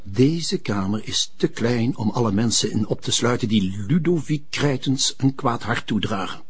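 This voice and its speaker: A husky, middle-pitched male voice. a wealthy, haughty gentleman, formal and bitter, sprinkling in French phrases for a television drama. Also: lively intonation, normal speed. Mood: disdainful. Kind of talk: TV drama acting.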